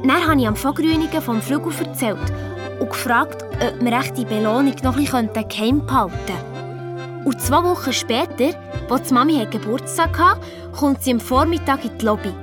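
A woman's voice: high-pitched, normal speed, music in the background, lightly acted. A woman playing an eager, chatty girl detective with a Swiss German accent, telling her story colloquially in a children's audio drama. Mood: proud, eager. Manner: lively, colloquial retelling, quoting others.

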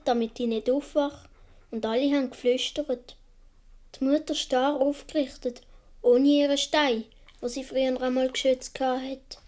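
High-voiced naive Swiss little boy. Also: mellow. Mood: wistful, matter-of-fact. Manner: naive, colloquial storytelling. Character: seven- or eight-year-old Swiss boy recalling his childhood. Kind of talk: radio play narration.

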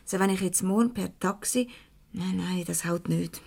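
An actress playing a brisk, conscientious office secretary with a Swiss German accent, easily exasperated, in 1970s radio comedy style. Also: female voice, on the low side, vocal fry, darkish tone, husky. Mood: brisk, resolute.

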